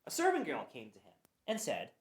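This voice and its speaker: A woman giving a dramatic Bible reading, expressive, with occasional stumbles. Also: a bass voice, fairly quick. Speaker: scripture reader. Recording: roomy echo.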